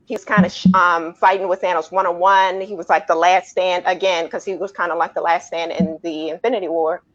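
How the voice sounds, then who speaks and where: A woman's voice: on the low side, fairly even intonation, brisk, muffled recording. A woman speaking casually as a panelist in a movie review discussion.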